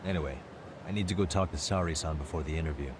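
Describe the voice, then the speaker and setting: A man's voice: extremely low pitch, fast, background noise. An actor voicing a wry young male private detective in a video game, dry and sardonic. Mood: casual. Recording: game audio with music behind.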